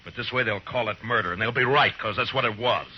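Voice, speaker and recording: An American actor playing a stern, weary Old West marshal in a radio western, speaking tersely and with grave authority. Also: male voice, medium pitch, fast, close to an everyday voice. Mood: firm, accusing. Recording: muffled, noisy old radio recording.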